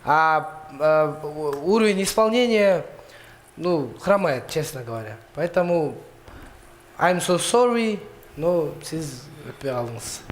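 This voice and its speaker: A man from Kyrgyzstan giving a talent show critique, halting as he searches for words. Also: fairly high pitch, lively intonation. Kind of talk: spoken critique.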